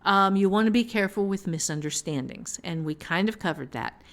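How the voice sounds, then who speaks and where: A woman's voice: medium pitch, a little fast, fairly bright tone. A woman novelist co-hosting a casual discussion of fiction writing, speaking emphatically and often with a chuckle in her talk. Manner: chuckles often while talking, emphatic repetition.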